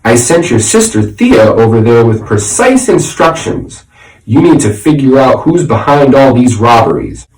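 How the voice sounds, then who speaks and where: A bossy old grandfather mouse, played by a man reading a storybook, barks scornful orders and boasts. The pitch leaps about in impatient screeches, and the voice rings in a roomy space. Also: big pitch swings, moderate pace, darkish tone, far from the mic, faint music behind, close to an everyday voice. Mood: scornful, commanding.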